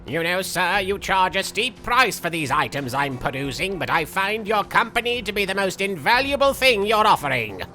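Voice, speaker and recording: Very bright, vain cartoon villain. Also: male voice, high-pitched, very animated, normal speed, few pauses, soft background music, exaggerated voice. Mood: vain, jokey. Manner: theatrical, petulant, jokey. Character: excitable, vain male cartoon villain playing a video game. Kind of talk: in-character let's play commentary.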